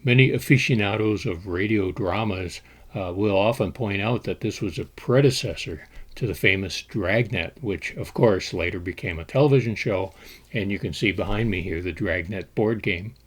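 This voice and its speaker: Low-voiced man narrating game history. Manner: measured storytelling with occasional fillers. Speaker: board game collector presenting.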